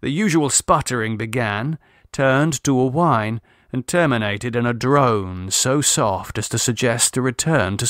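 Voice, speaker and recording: A man narrating a classic horror tale as an audiobook reader, in a deliberate, suspenseful, dramatic manner. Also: mid-range voice, fairly bright tone, no echo, studio quality.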